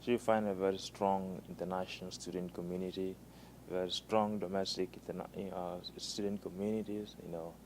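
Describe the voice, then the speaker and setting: A man's voice: sits low, level tone, brisk, a little mellow, a little hiss or hum. A man, an international student, giving thoughtful interview answers and often saying "you know".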